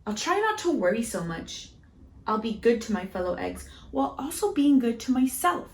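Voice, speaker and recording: A woman voicing an earnest, dutiful, anxious egg telling its own story in an expressive storybook read-aloud. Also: a little high, normal speed, echoey room, a little hiss or hum. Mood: hopeful.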